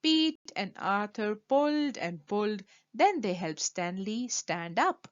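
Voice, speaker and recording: Female storyteller for kids' picture books: unhurried, emphatic read-aloud with big swings in pitch. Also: highs cut off. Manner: animated, emphatic delivery for children. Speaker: storyteller reading a children's book.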